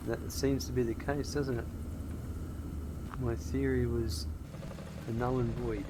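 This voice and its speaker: A man's voice: mid-range voice, unhurried. A man with an Australian accent giving casual commentary while playing a factory game, thinking aloud and answering chat. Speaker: streamer. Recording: steady noise behind voice.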